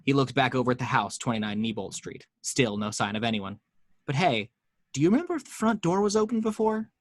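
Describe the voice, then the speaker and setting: A man's voice: medium pitch, expressive, brisk, dry recording, studio quality. A man narrating the action lines at a home table read, with chatty asides to the listeners.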